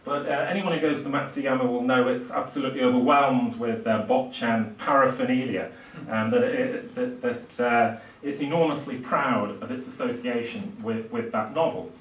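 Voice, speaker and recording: An older man giving a literary lecture in a halting, hesitant way, with frequent pauses. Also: medium pitch. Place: small indoor lecture room with seated audience. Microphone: far camera, speaker at a lectern. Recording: roomy, distant microphone in a hall.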